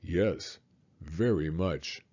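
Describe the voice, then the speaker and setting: Man as a gentle storybook father, low mellow voice, husky, calm, tender, reassuring. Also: very slow, muffled recording, exaggerated voice.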